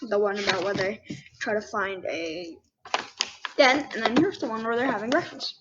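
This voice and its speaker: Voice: medium pitch, normal speed, muffled recording, noisy background. A ten-year-old child host reading a storybook aloud, with casual asides to the listeners. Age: 10 years old.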